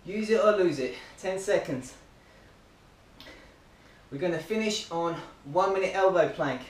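A high-voiced man in his thirties gives upbeat, encouraging workout cues while exercising, a little out of breath. He is recorded indoors by a camera across the room, so his voice sounds distant. The living room adds an echoey ring. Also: lively intonation, measured pace. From Australia. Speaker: running club fitness coach. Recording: roomy, distant camera audio.

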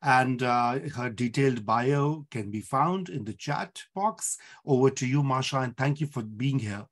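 A middle-aged man moderates a panel discussion over a video call from a book-lined home study. His voice sits at an ordinary pitch for a man. He speaks politely and at a measured pace.

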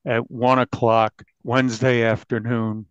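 A man speaking as an investigative journalist in a podcast interview, recounting findings with halting false starts. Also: mid-range voice, little pitch movement, clean tone, dry recording, muffled recording.

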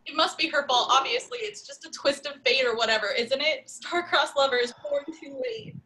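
A woman's voice: sits high, animated, normal speed, a crowd in the background. A woman reading the part of a jilted, vengeful seventeen-year-old girl in an amateur script read, indignant and dramatic. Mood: hurt, indignant. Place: home room, video call.

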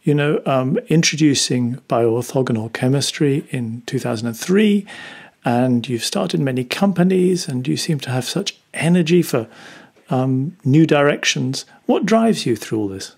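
Male interviewer, creaky breathy-edged voice, putting questions gently and haltingly. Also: medium pitch, expressive.

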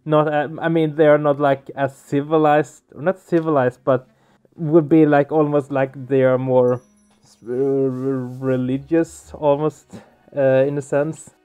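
Male let's play host, highish smooth voice, hesitant casual delivery, dull sound with music behind. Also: level tone. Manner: halting, searching for words. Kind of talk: casual game commentary. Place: small room at home, indoors. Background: game music.

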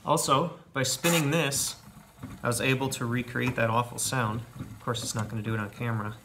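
A man's voice: mid-range voice, steady tone, brisk, noisy background. A man in his forties explaining a repair casually, in a plainspoken, matter-of-fact and slightly self-deprecating way. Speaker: home repair video host. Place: indoor home workshop.

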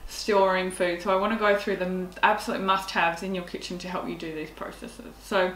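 A woman's voice: lowish voice, steady tone, a little fast. A woman, a guest chatting through practical kitchen tips at a home kitchen table. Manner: friendly and practical, explains step by step. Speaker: guest food and kitchen expert. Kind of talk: casual explanatory chat. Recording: roomy echo, faint background hum.

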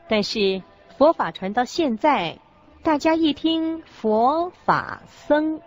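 A woman's voice: high-pitched, animated, slow. Woman narrator, slightly dull audio, faint music. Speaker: co-narrator of a Buddhist radio lecture. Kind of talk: scripted religious narration.

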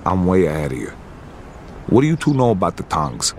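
Deep-voiced, confident American mobster. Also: male voice, huge pitch swings, brisk, a little mellow, a little hiss or hum, exaggerated voice. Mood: confident, persuasive. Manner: assured, matter-of-fact, blunt. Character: confident, businesslike young American mobster pitching deals. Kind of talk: video game cutscene acting.

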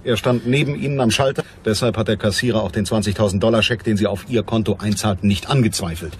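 A man playing a blunt, unyielding private detective in a crime radio play, with a dry, deliberate delivery. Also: fairly low pitch, slight background noise, natural voice. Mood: stern, accusing. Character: hard-boiled, stocky male private detective, blunt and unyielding.

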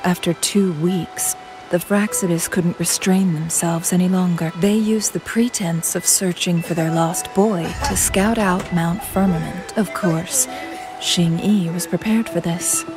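A poised strategist woman, voiced by an actress in a video game, speaking in a slow, measured way. Her voice sits a little low and stays composed. Game music plays underneath. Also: steady tone, lightly acted.

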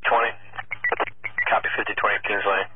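A man's voice: on the low side, level tone, moderate pace. A man working as a police dispatcher, giving clipped, procedural calls over a police radio. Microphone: radio transmission captured from scanner feed. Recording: tinny phone-like scanner radio, faint noise.

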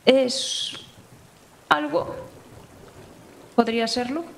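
Creaky-voiced Spanish woman, hesitant roleplayer. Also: high-pitched, steady tone, very slow, echoey room. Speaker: player. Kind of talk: casual tabletop roleplay, describing her character's actions.

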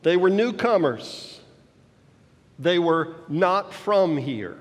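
An older man in his sixties preaching a sermon from a lectern in a church sanctuary, teaching slowly and checking in with his listeners. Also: high voice, animated. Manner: slow teaching delivery, checks in with the congregation. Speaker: pastor. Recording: long hall reverb in a church.

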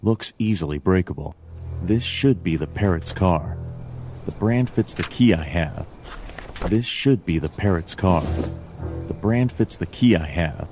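A man playing a world-weary fox detective, deadpan and brooding, narrating a noir game in terse lines. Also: deep voice, measured pace. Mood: puzzled, deadpan. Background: game music.

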